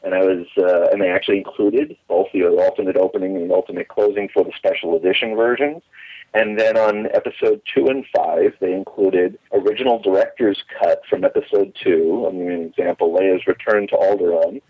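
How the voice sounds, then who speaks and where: A man in conversation as an interview guest, telling stories from memory in an animated way over a phone line. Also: low voice, a little fast, slightly rough. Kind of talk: conversational reminiscing about radio drama. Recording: muffled, band-limited phone line.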